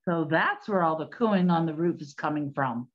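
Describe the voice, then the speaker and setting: A woman's voice: low-pitched, moderate pace, muffled recording. An actress playing a blunt, exasperated, wisecracking woman of the 1920s with sardonic, slangy speech in a period comedy. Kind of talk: period comedy radio-play acting.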